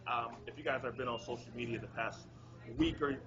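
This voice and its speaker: A man's voice: mid-range voice, steady tone, fairly quick, highs cut off, a crowd in the background. A man hosting a casual interview, upbeat and encouraging, often repeating himself. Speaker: interview host.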